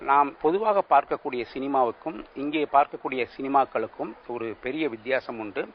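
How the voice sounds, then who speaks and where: A man's voice: mid-range voice, a little fast. A man, a film society secretary, explaining an upcoming film festival to reporters. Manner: explanatory, plain speech. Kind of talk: press statement in the field. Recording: lo-fi field clip with faint noise.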